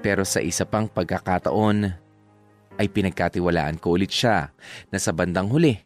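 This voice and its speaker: A low-voiced Filipino man, an actor narrates a scripted first-person love story over soft music. He sounds like a reflective, sorrowful boyfriend looking back on a failing romance. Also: moderate pace, lightly acted. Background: background music.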